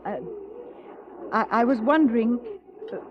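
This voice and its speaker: An actress playing a polite, apologetic, long-suffering frontier wife with a hesitant manner, in an old-time radio Western. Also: female voice, a little high, unhurried, natural voice. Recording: muffled, noisy old radio recording.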